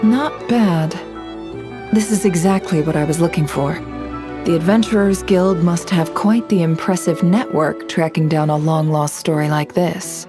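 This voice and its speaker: Woman playing a poised, low-pitched intelligence broker. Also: measured pace, music in the background, natural voice. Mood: composed, pleased. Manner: composed, knowing, smooth. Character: poised, shrewd young woman running an intelligence business. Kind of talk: English video game voice acting.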